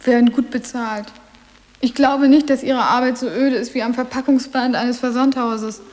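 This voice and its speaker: A woman's voice: fairly high pitch, fairly even intonation, normal speed, darkish tone, close to an everyday voice. A woman playing a cool, sarcastic teenage boarding school girl with a dry, mocking delivery in a radio drama. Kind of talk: German literary radio drama.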